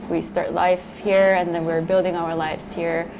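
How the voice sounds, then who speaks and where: Halting young immigrant woman, lowish voice. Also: little pitch movement, vocal fry, over loud music, rough recording. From born in Korea, moved to New York in youth. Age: young adult. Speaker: interviewee, local resident. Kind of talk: casual spoken testimony.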